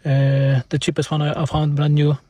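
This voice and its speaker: Male vlog mechanic, Polish accent and native tongue, raspy edge, informal explainer with halting second-language phrasing. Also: fairly high pitch, monotone, warm tone, dry recording. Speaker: car mechanic presenting a repair video.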